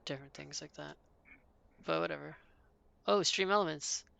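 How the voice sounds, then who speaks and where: Rambling streamer with a gravelly, dry voice. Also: medium pitch, normal speed, sharp tone, no echo. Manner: rambling, thinking aloud while setting up. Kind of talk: casual live-stream chatter.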